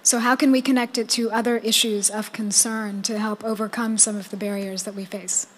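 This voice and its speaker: A woman's voice: mid-range voice, little pitch movement, fairly quick, slight background noise. A woman putting questions and remarks to a meeting. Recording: long hall reverb.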